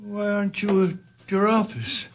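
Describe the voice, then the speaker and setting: A man's voice: high voice, steady tone, a little slow. An actor playing a very old, stubborn father who speaks tersely and insistently in a radio drama. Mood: groggy, terse. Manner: terse, insistent, repeats himself for emphasis. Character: very old father, stubborn, clinging to his dead infant. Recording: dull lo-fi old radio recording.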